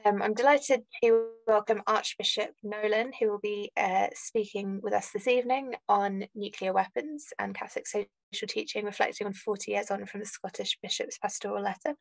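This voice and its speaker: Woman, gravelly, dry close sound, hesitant, many ums, seminar host, video call. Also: medium pitch, fairly even intonation, moderate pace, few pauses, no echo, low-quality recording. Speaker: seminar organizer introducing the event. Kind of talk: brief event introduction. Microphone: computer mic on a video call.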